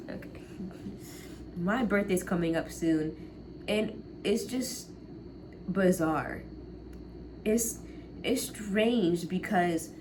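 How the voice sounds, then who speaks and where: A low-pitched young woman in her late teens rambles casually to her viewers. She laughs easily and lets her sentences trail off. There is clear background noise under her voice. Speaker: college vlogger. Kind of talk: casual chat to camera.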